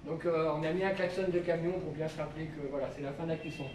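A man in his fifties, a school canteen cook, calmly explaining his work to children with plenty of fillers, recorded on a camera nearby in a kitchen. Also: on the high side, quick pace, background noise. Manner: plain, patient, with many fillers. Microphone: camera held by children nearby.

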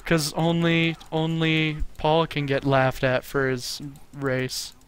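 Clear-voiced young man gaming. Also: fairly high pitch, steady tone. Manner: enthusiastic, jokey, quick reactions. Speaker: gaming channel host. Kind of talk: casual co-op gameplay chat.

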